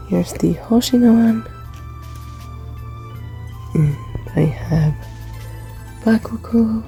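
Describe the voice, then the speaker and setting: Young man, slightly high voice, gravelly fry, hesitant casual vlog talk. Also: darkish tone. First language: Finnish. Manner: hesitant, many ums and uhs. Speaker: convention artist vlogger.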